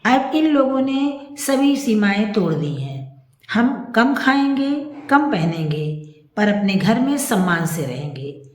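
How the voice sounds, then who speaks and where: A woman voicing a wronged, resolute wife and mother in a grave, measured, earnest manner for a story. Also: medium pitch, very animated. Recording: echoey room.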